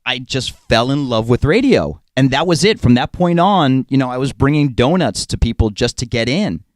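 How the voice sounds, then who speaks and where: A young adult man co-hosting a casual podcast, animated and argumentative, laughing easily as he talks. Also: medium pitch, normal speed, no room sound. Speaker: podcast co-host.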